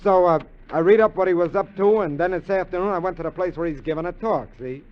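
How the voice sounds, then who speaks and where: An actor playing an eager, scheming American burglar sidekick in a 1950s radio drama, talkative, slangy and wheedling. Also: male voice, high voice, fast, close to an everyday voice. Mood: excited, persuasive. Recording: dull vintage radio recording.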